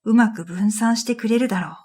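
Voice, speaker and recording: A woman playing a pensive dream reader, narrating her own story in a quiet, measured radio drama style. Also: fairly high pitch. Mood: pensive, calm. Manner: quiet, measured, pensive, trailing into breathy phrase ends. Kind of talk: scripted first-person radio drama narration.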